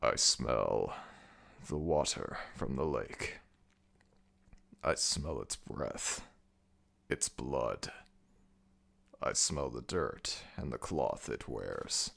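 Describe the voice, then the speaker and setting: A man acts out an ancient jackal god ruling the underworld in an audio roleplay. The voice is very low and gravelly, with slow, imperious delivery. He is stern, deliberate and menacing. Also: expressive, fairly bright tone, heavily acted. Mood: menacing, curious. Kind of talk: ASMR audio roleplay acting.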